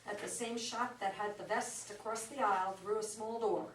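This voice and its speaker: A woman's voice: mid-range voice, fairly even intonation, normal speed, fairly bright tone, close to an everyday voice. Heard from far off in an echoey, noisy room, a woman reads the part of a comfortable, well-off woman resident in a stage play. She is polite yet guarded, hesitating as she defends herself. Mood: guarded, uneasy. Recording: distant echoey live recording, noisy background.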